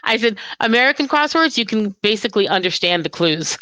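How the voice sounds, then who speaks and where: A woman's voice: mid-range voice, expressive, a little fast, bright, forceful tone, no room sound, rough recording. A woman hosting a livestream in casual chat. She talks in a chatty, enthusiastic way. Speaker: livestream host.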